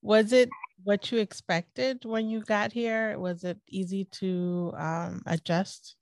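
A woman's voice: medium pitch, flat delivery, slow, a little mellow, no echo, clean studio sound. A woman from Ethiopia hosting a casual chat, asking curious questions. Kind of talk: casual interview.

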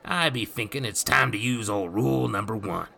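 A man voicing a roguish, cocky male thief who talks in a gravelly, swaggering, ungrammatical like dialect. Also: on the low side, normal speed, pushed and bright, a little hiss or hum, pitched above the performer's own voice, full character voice.

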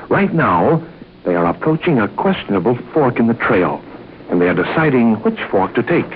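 A man's voice: medium pitch, very animated, normal speed, natural voice. A man as a grave announcer narrating an old-time radio adventure serial with suspense. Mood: suspenseful. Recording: tinny, faintly noisy old radio recording.

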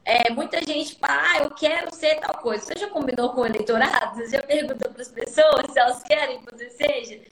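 High-voiced Mineiro woman in an echoey room. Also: brisk, few pauses. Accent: Mineiro Brazilian Portuguese. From Minas Gerais, Brazil. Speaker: guest, politician.

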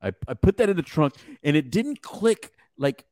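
Animated storytelling man, dry close sound. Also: fairly high pitch, normal speed, no room sound. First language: Spanish. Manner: animated storyteller, slangy, says "bro". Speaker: podcast co-host. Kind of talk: casual conversation.

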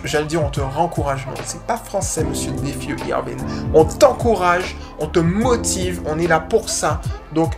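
Man, dog-coach podcast host, slight Guadeloupean lilt, upbeat motivator, music underneath. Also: a little high. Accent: Guadeloupean French, slight. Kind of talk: casual podcast advice.

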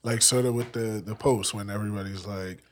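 A man's voice: fairly low pitch, fairly even intonation, brisk, a little hiss or hum. A man hosting a podcast, talking in a casual, playful and teasing way. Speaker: podcast host. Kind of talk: casual conversation.

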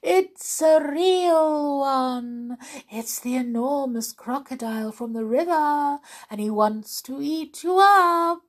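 A woman voicing a male bird who calls out warnings in a high, sing-song voice. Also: takes it slowly. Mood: urgent.

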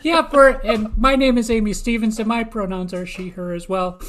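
A woman's voice: fairly high pitch, moderate pace. A woman with an American accent from Minnesota, co-hosting a podcast in casual interview chat. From United States, Minnesota. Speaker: podcast co-host.

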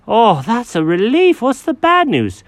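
A storyteller voicing a fussy, naive male cat who talks in a high squeak, with an excitable, bewildered manner. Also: a little slow, no echo.

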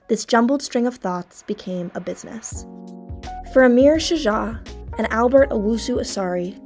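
A woman hosting a podcast, moving between relaxed interview chat and scripted narration in a friendly, upbeat manner. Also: mid-range voice. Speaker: podcast host.

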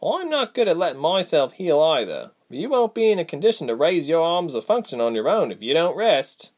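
Storybook role: a man doing a sharp-tongued woman healer, high-pitched, firm and level, with lively swings. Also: brisk. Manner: calm, level, scolding. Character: blunt, sharp-tongued woman healer, calm but livid.

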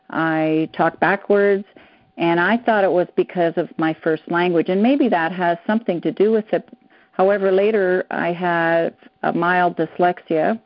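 A woman's voice: medium pitch, dry recording. An older woman whose first language is German, a psychotherapist answering interview questions earnestly, sometimes hunting for words. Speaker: psychotherapist guest. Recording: dull dry lo-fi sound.

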